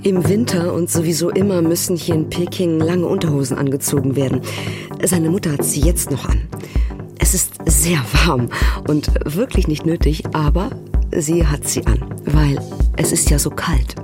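A low-pitched actress playing an assertive mother tells of family life with open exasperation. She speaks conversationally, as in a documentary radio play, with music clearly behind her. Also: moderate pace, lightly acted. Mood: exasperated, wry. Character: exasperated, assertive German mother recounting family quarrels in Beijing.

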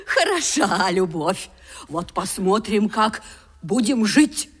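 A woman's voice: mid-range voice, lively intonation, drawn-out words, husky, exaggerated voice. An actress playing a coarse, uneducated older stepmother in a radio play, scolding with sarcastic, wailing folksy nagging. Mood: mocking. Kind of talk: Russian radio play acting.

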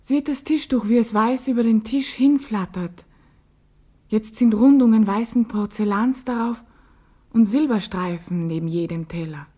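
A wide-eyed female dreamer with a breathy, mellow voice set fairly high. She delivers lyrical lines for a poetic radio play. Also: a little slow. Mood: wondering.

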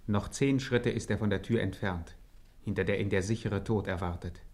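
An actor playing a mock-dramatic narrator of a suspenseful radio crime satire. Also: male voice, on the low side, normal speed, darkish tone, natural voice.

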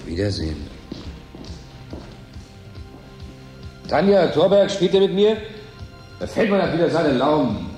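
An actor playing an irritable, evasive station worker in a radio drama, brusque and colloquial. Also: male voice, on the high side, sing-song, brisk, darkish tone, echoey room, faint music behind. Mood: calling out. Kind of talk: German radio drama acting.

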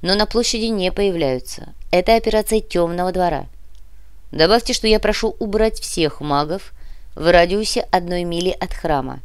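A woman voicing a cool, authoritative male commissioner in a fantasy audiobook, giving measured, decisive orders. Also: fairly low pitch, clean tone, no echo, natural voice. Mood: decisive, composed. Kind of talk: audiobook character acting.

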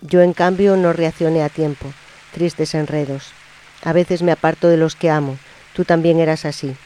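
A man on a radio program, reading a personal letter aloud in a slow, intimate, reflective way. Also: a little high, fairly even intonation. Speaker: radio presenter. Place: radio studio. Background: soft guitar music.

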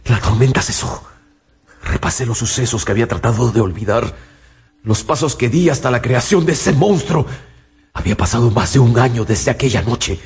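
A man's voice: mid-range voice, expressive, moderate pace, a little mellow, husky. An actor playing a tormented scientist haunted by his monstrous creation, narrating theatrically in an anguished, brooding radio-drama style. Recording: storm and rain sound effects behind. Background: thunder, rain.

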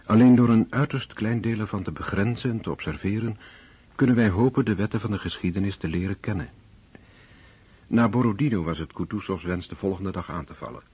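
A man reading scripted literary narration for a Dutch radio drama in a dark, husky voice. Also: fairly low pitch, brisk, no room sound, dull audio.

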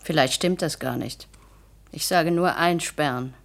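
Hard, scornful wife with a low voice, curt and nagging, vintage radio play. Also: female voice, a little slow, creaky. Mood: disdainful. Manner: curt, contemptuous. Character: cold, scornful wife belittling her husband. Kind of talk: 1960s German radio play acting.

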